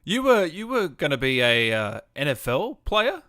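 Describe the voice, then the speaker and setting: A man's voice: on the high side, sing-song, normal speed, pushed and bright. A man hosting a casual livestream interview, asking questions in a conversational way. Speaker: host, interviewer.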